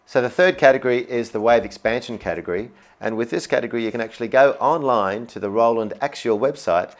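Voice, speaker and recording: A man's voice: mid-range voice, quick pace. A man presenting a product demonstration in an upbeat, enthusiastic way. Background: music, demonstrated keyboard sounds.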